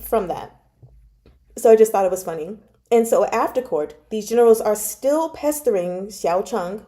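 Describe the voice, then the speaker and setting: Mellow-voiced woman in casual, animated chat. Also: mid-range voice. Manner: animated, giggly, digressive, slangy. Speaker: book club vlogger. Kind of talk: casual chat retelling a novel.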